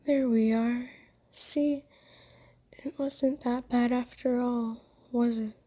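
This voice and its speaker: A woman playing a kind, doting, genteel vampire in an ASMR roleplay, speaking softly and soothingly with teasing endearments. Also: a little high, fairly even intonation, slow. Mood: reassuring.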